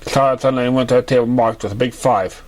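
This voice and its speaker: A man narrating scripted fiction as an amateur reader, halting and sometimes stumbling over his words. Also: medium pitch, steady tone.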